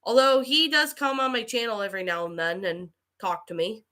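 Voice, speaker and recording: A woman with a cold, chatting casually as a livestream host and answering viewers in a halting way. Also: on the high side, steady tone, bright, forceful tone. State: getting over a cold with allergies. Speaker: livestream host and creepypasta narrator.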